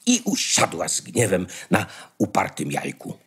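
A man narrating a fairy tale in a measured storytelling manner, with dramatic pauses. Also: low-pitched, wildly swooping pitch, a bit bright, slightly rough. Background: music.

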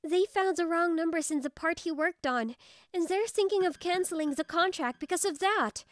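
A woman's voice: very high voice, normal speed, dry recording. A woman playing a friendly, supportive young female office coworker in a manga video dub. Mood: concerned, insistent. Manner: encouraging, friendly.

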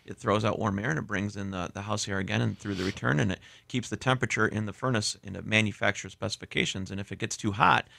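A man's voice: lowish voice, fast, gravelly, few pauses, sharp tone, no echo. A man in his thirties answering radio interview questions as a contractor in a small studio, explaining things in a matter-of-fact way. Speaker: guest heating and cooling contractor. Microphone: studio mic with headphones.

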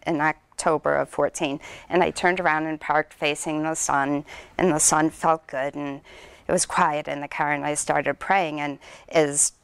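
A woman's voice: low-pitched, smooth, no echo. A middle-aged woman giving a heartfelt, earnest testimony to her church congregation, holding a microphone in a small sanctuary. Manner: earnest, emotional, speaks from a lectern. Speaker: church member sharing testimony. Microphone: handheld microphone.

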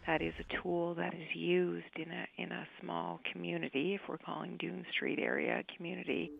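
A woman police inspector giving a measured, careful official statement. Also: on the low side, vocal fry. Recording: dull lo-fi phone audio with noise.

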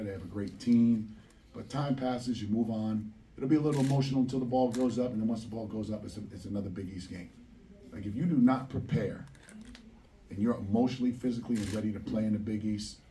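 A man's voice: lowish voice, dark tone. A middle-aged man, a basketball head coach, answering questions bluntly and emphatically at a postgame press conference, repeating phrases for stress. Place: press room at a table. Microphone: table microphone, recorded from a distant camera. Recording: distant, slightly noisy press room.

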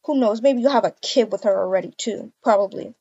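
A woman's voice: mid-range voice, brisk, warm tone, husky, highs cut off. A woman voicing a betrayed, indignant and bossy wife in an amateur game roleplay. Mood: bitter, curt. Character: furious betrayed wife demanding divorce. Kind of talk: amateur voiced Roblox roleplay.

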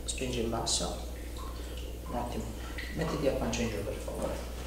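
A man talks a patient through a chiropractic session in short, repeated, encouraging prompts. His pitch is average for a man. The room is very echoey, with clear noise behind him. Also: moderate pace. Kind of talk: calm hands-on instructions to a patient. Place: large indoor living-room-like treatment room.

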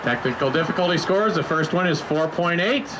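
A man's voice: fairly high pitch, expressive, brisk. A middle-aged man announcing a water ski show outdoors by a lake, interviewing skiers with folksy jokes over a handheld microphone. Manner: jokey, folksy banter. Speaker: event announcer. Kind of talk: live announcing and on-stage interview. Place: outdoor lakeside beach stage. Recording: PA voice with loud crowd and outdoor noise. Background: crowd and water noise.

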